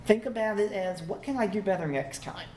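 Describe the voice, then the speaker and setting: A man giving a relaxed, conversational conference talk to a live audience, laughing easily as he goes. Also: high voice, a little fast. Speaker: conference presenter. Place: conference room. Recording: echoey room, faint background hum.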